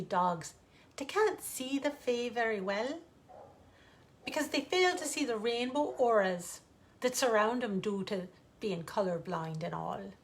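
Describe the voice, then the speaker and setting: A woman with a fairly high voice reads a bedtime story. Her delivery is theatrical and lilting, with dramatic pauses that draw out the fairytale. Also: fairly bright tone. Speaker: author reading own bedtime story.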